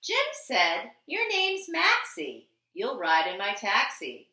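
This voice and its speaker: A woman reading a children's picture book aloud like a teacher, with an expressive, deliberate, sing-song delivery on the rhymes. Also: mid-range voice, echoey room. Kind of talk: animated children's storybook reading.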